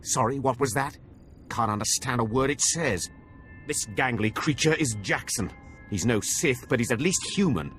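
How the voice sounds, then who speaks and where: A man's voice: mid-range voice, animated, moderate pace, fairly bright tone, lightly acted. Cruel male taskmaster voiced by an actor, scornful and sneering, mid-range voice for a game cutscene over faint music. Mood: sardonic. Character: cruel, contemptuous overseer of slave acolytes. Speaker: Sith academy overseer character. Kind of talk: video game cutscene acting.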